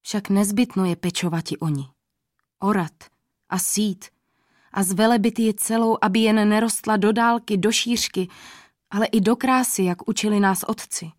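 A woman voicing a humble, wise blind old ferryman in his seventies, speaking slowly and quietly in a storybook reading. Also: mid-range voice, no room sound, studio quality, natural voice. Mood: solemn. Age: 70s. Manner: slow, quiet, earnest.

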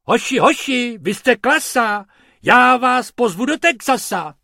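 Storybook tourist husband, played by a man: jovial, bossy, hollering high and exuberant. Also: lively intonation, gravelly, no room sound, clean studio sound, full character voice. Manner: exuberant, calling out loudly. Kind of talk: children's storybook character voice.

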